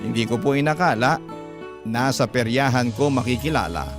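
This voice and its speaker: A man narrating a love story in first person, classic radio drama style, with gentle storytelling. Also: medium pitch, moderate pace. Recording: music bed behind. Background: music.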